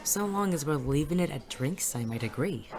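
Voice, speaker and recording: A very deep-pitched noir detective played by a woman, gruff and sardonic. He delivers his lines and case narration deadpan, in audio drama style, with music clearly behind him. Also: normal speed. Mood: wry.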